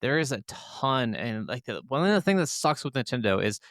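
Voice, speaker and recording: A man's voice: medium pitch, big pitch swings, brisk, fairly bright tone, no echo, studio quality. A man co-hosting a podcast, chatting casually and informally about video games with enthusiasm. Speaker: podcast host.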